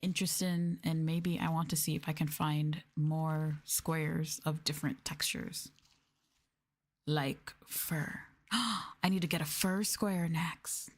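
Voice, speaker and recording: A woman speaking softly and intimately in a casual ASMR chat, at times dropping to a whisper. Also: low-pitched, steady tone, slow. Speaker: streamer, VTuber.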